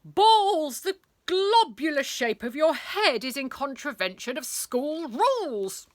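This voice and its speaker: A woman voicing a furious, tyrannical male schoolteacher in a storybook, pompous and barking, with drawn-out words. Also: high-pitched, sing-song, no room sound, studio quality, pitched above the performer's own voice, full character voice.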